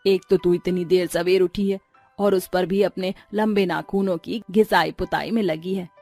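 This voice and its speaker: A woman's voice: on the low side, steady tone, moderate pace, a little mellow, no echo. Cartoon role: elderly mother-in-law played by a woman, exasperated, nagging, sarcastic, with music underneath. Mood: reproachful. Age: older. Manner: scolding, weary, sarcastic. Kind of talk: Hindi cartoon story character acting.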